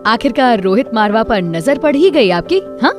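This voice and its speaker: A lively, swooping female voice from an actress plays a teasing best friend in an audio drama. She is playful, familiar and gently mocking, with music behind her. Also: fairly high pitch, normal speed, lightly acted. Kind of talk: Hindi audio drama acting. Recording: music bed under voice. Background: background music.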